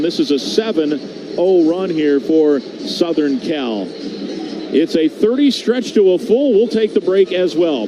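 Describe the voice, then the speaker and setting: Higher-pitched American man calling live basketball, noisy. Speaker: radio play-by-play announcer. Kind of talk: live basketball radio commentary. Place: broadcast booth in a sports arena. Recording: clear background noise from arena broadcast.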